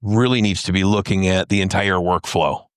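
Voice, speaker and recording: A man's voice: low voice, fairly even intonation, moderate pace, smooth, no room sound, clean studio sound. A man co-hosting a podcast, giving casual commentary in conversation. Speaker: podcast co-host.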